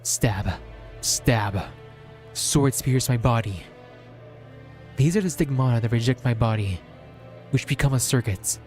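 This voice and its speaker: A man reads a visual novel aloud as dramatic first-person narration, measured and solemn, in short deliberate phrases. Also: medium pitch, darkish tone. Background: background music.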